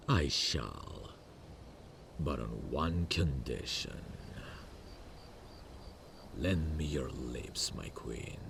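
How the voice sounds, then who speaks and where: Man voicing a very deep, gravelly demon king. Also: noisy background, heavily acted. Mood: seductive. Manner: slow, courtly, formal and deliberate. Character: imposing, amused male demon king, courting a human queen. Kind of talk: ASMR romantic fantasy audio roleplay.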